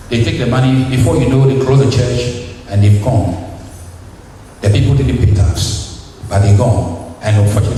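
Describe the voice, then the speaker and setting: A man's voice: medium pitch, warm tone, slight background noise. A middle-aged man from Ghana with a Ghanaian accent, preaching a sermon in a church and firing rhetorical questions at the congregation. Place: church hall. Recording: echoey hall, mic far off.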